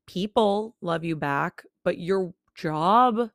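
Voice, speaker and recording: A young woman in her late twenties talks into a close mic in a low, gravelly register, dry and intimate with no room sound. Chatty and candid, she slips little laughs between her words. Her voice still sounds a touch worn from recovering. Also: slow, no room sound. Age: 20s. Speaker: podcast host. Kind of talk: casual solo podcast chat. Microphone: own studio microphone, close.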